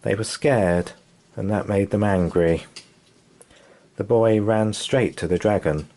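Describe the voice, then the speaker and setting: Guy reading a bedtime story to kids, low voice, really takes his time. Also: slow pace, dark tone. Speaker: children's story reader. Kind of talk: animated bedtime storybook narration.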